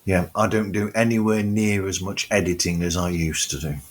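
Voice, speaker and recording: A man's voice: low-pitched, steady tone, normal speed. A man hosting a podcast, chatting casually and agreeably, often repeating words as he gathers his thoughts. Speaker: podcast host.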